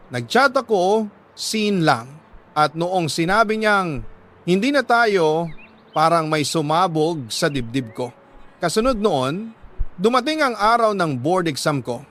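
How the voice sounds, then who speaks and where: Higher-pitched Filipino man, dramatic storyteller. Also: a bit bright. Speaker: radio drama narrator reading a letter sender's story. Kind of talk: dramatic first-person scripted storytelling.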